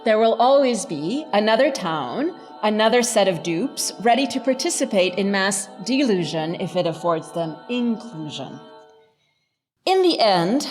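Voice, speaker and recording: A woman giving a scripted lecture narration in a measured, articulate, emphatic manner. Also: medium pitch, darkish tone, long reverb tail.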